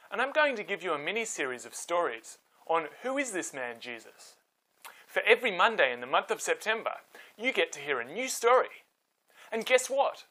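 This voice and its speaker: A man hosting and telling scripted Bible stories for children in an expressive, dramatic way. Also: fairly high pitch, rough recording. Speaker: storyteller and host.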